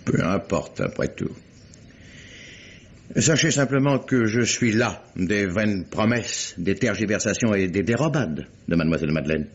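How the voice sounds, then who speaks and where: A cold, aristocratic blackmailer from a vintage radio mystery. His low voice is rough-edged and swings widely in pitch as he speaks with haughty, formal deliberation. The recording is dull and old. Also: expressive, measured pace, exaggerated voice. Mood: cold, threatening. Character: menacing aristocratic count, a cold blackmailing suitor. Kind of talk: old French radio drama acting.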